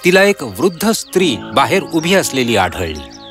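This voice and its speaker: A man's voice: a little high, very animated, moderate pace, over music. A man narrating a children's fairy tale in a scripted storybook style.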